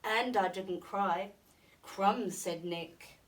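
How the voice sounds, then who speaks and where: A woman's voice: mid-range voice, drawn-out words, far from the mic, slight background noise. A woman reading a children's bedtime story aloud with expression, as a school storytime reader.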